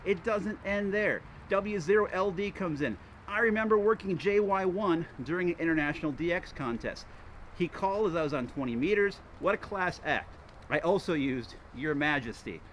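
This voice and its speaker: Higher-pitched enthusiastic American man, noisy background. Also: fairly bright tone. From Wisconsin, United States. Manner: enthusiastic, folksy. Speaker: host of a ham radio hobby channel. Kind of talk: casual vlog chat, reading out viewer responses.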